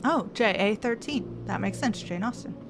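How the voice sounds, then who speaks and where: A woman's voice: medium pitch, lively intonation, normal speed, background noise. A woman streamer casually thinking aloud while playing a game and reading text off the screen. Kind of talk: casual let's-play commentary. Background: game music.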